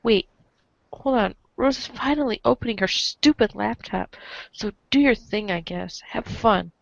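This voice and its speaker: Woman as a creaky, deadpan, sardonic youngster. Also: on the high side, measured pace, no room sound. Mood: unimpressed, offhand. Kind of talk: amateur reader's theater. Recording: dull lo-fi home recording.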